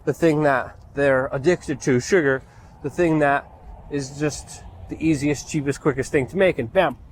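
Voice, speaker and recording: A man who grew up in Poland speaks in a slightly high voice, railing against the state of things with biting sarcasm. His delivery is indignant and scolding, a ranting monologue rather than a conversation. A faint rumble of passing vehicles sits in the background. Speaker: political commentator.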